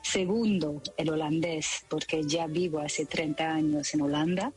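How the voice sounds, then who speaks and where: A woman's voice: deep voice, fairly even intonation, measured pace, a little mellow, faint music behind. A woman from Belgium, speaking carefully as a guest choreographer on a radio program. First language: French. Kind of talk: radio interview.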